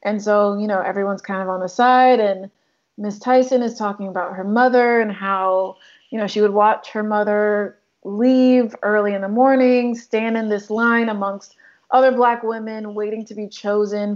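Woman, photographer guest, chatty, filler words, mid pitch, a bit dull recording. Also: little pitch movement, unhurried. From Democratic Republic of Congo. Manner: chatty, lots of likes and ums. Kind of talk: casual interview talk.

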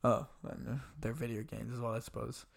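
Aussie guy, blocked-up nose, sweary and blunt, mid-pitch voice. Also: steady tone, a little fast, creaky. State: stuffy nose from a cold. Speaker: podcast co-host. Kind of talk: casual movie review chat.

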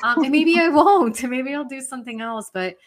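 A woman from Serbia chatting casually in an expressive, reflective and informal way. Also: high-pitched, a little fast. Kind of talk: casual chat.

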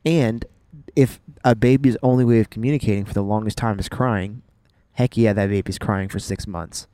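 A young man co-hosting a podcast, chatting in a playful, animated way with frequent "um" restarts. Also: fairly low pitch, normal speed, clean tone, no room sound. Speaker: podcast co-host. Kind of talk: casual chat.

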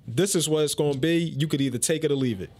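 A man in casual chat, joking and cutting in with quick quips. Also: fairly high pitch, darkish tone.

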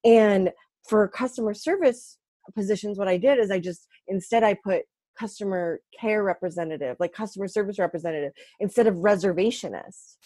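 A woman's voice: mid-range voice, mellow, no room sound. Dry-voiced woman comedian. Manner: laid-back, halting with fillers. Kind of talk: casual chat.

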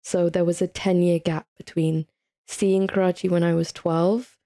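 A woman's voice: fairly low pitch, flat delivery, moderate pace, mellow. A woman in her early twenties with a British accent, chatting informally and telling stories as a podcast guest. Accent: British English. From England, raised partly in Italy and Saudi Arabia. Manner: chatty, informal, often says "like". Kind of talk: casual conversational storytelling.

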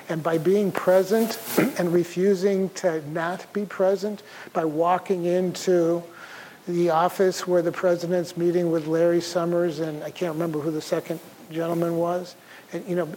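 A soft-spoken older man speaks to a room in a relaxed, conversational way, full of small fillers and throat clearing. His voice is mellow and warm. His delivery is unhurried and plain. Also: high-pitched, a little hiss or hum, low-quality recording. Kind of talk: conference talk.

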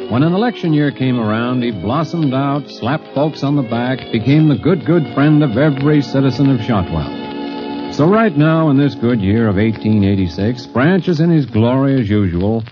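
A man narrating and announcing a vintage radio Western in a dramatic, declamatory scripted style. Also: medium pitch. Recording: muffled old radio recording, music behind. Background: music.

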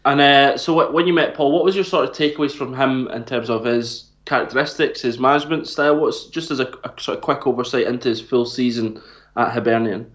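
A man hosting a football podcast, friendly and relaxed, calling his guest mate. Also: mid-range voice, a little fast. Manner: friendly, laughs easily, says mate. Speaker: podcast host. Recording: slightly dull audio.

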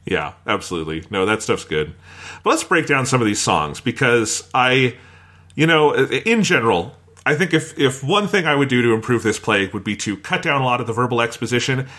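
A man's voice: medium pitch, animated, fairly quick, bright, forceful tone. Opinionated man hosting a casual review discussion. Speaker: podcast host and reviewer.

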